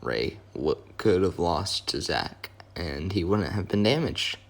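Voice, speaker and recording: A hoarse young man, his voice worn from yelling, rambling casually through a wrestling talk with many fillers and self-corrections. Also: low-pitched, lively intonation, takes it slowly. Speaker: wrestling video host. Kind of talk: casual fantasy-booking commentary.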